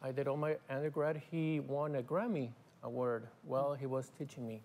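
A middle-aged man from Argentina, a guest musician, talking in a halting, casual way with fillers and restarts in a TV studio on a lapel mic. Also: medium pitch, fairly even intonation, darkish tone. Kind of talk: casual interview talk. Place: TV studio set.

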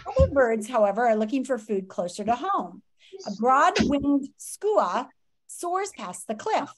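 A woman in her fifties reads aloud to children in a high voice. Her delivery swings up and down with dramatic expression, and she breaks off for teacherly asides and questions. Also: very animated, unhurried, rough recording. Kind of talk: animated read-aloud of a nonfiction children's book with asides. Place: small room at home.

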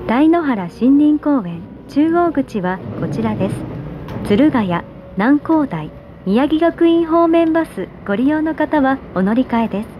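A woman giving scripted subway announcements in a polite, measured, carefully enunciated way, over a train PA. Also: high-pitched, big pitch swings, lo-fi sound. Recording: train PA with vehicle noise.

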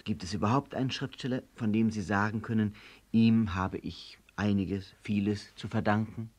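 A man's voice: fairly low pitch, fairly even intonation, normal speed, darkish tone. A man asking polite, formal interview questions on an old 1960s broadcast recording.

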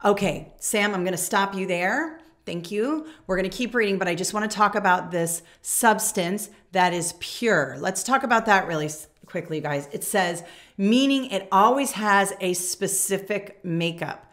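A woman's voice: mid-range voice, animated, moderate pace, a bit bright. An American woman in her forties teaching in a conversational, emphatic way, often checking in with the listener as she demonstrates a classroom lesson. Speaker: teacher and teacher trainer. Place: small furnished room indoors.

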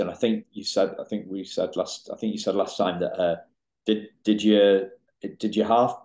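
A man hosting a podcast interview, asking questions in a halting way with many repeats and restarts. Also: fairly low pitch, darkish tone. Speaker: podcast host. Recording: echoey room.

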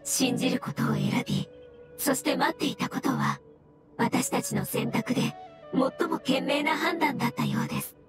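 Woman as a high-voiced solemn mushroom spirit. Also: normal speed, natural voice. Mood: serene, reverent. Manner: formal, polite, earnest. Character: gentle solemn floating mushroom spirit, earnest and courteous. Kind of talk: Japanese anime game voice acting. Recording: game music behind.

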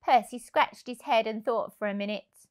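A woman in her thirties narrating a picture book for young children, expressively and deliberately. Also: fairly high pitch. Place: indoor room, on a sofa. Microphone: lapel mic.

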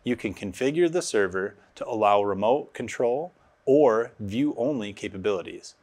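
A man's voice: on the low side, lively intonation, moderate pace, a little mellow. A man in his thirties giving scripted instructional narration as a technical specialist, recorded on a lapel mic in a studio. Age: 30s. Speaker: automation specialist presenting a tutorial.

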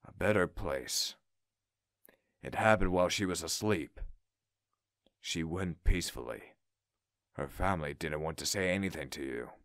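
A man voicing a stern but compassionate male railway controller, grave and gentle, in a children's storybook. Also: fairly low pitch, expressive, normal speed, no room sound, clean studio sound. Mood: sombre, consoling. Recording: music behind the voice.

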